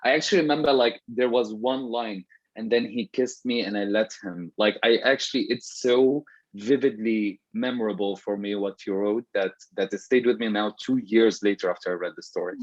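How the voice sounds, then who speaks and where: A man from Syria chats as the moderator of an online panel, his talk full of fillers and restarts. His voice sits low. The video call audio is dry and a little dull. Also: moderate pace, dry recording. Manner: warm, chatty, with many fillers and restarts. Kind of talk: casual panel conversation. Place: room at home.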